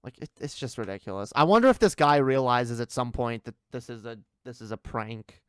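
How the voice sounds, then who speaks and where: A man with a smooth, clear voice of middling pitch, recorded dry and close. He talks as a livestream host reacting off the cuff, rambling, repeating himself and swearing casually. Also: lively intonation, a little fast, dry recording. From Canada. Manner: rambling, repetitive, liberal use of "like" and swearing.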